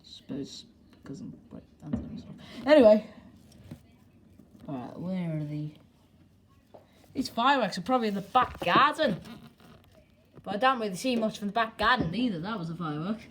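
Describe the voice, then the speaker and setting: A woman's voice: medium pitch, lively intonation, normal speed, pauses often, fairly bright tone, slight background noise. A young woman, rambling and joking casually as a vlogger while filming fireworks at home on a handheld camera. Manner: rambling, self-deprecating, mild swearing. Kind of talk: casual vlog commentary.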